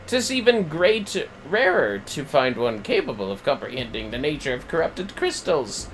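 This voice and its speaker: A noisy recording carries a man putting on a pompous, excitable male scholar from a fantasy game. He talks in florid, theatrical phrases, his pitch leaping high and swooping. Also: normal speed. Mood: gleeful, musing. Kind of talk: acted reading of fantasy game dialogue.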